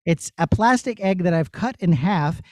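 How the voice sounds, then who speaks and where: A man's voice: sits high, animated, moderate pace, no echo, clean studio sound. A man who works as a puppeteer, answering children's questions in an encouraging, explanatory way.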